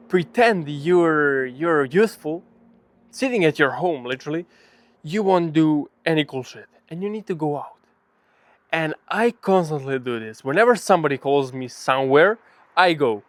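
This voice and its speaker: A man's voice: a little high, no room sound. A man from Serbia with a Serbian accent gives a casual motivational talk to camera, speaking bluntly and emphatically with frequent swearing. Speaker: vlogger.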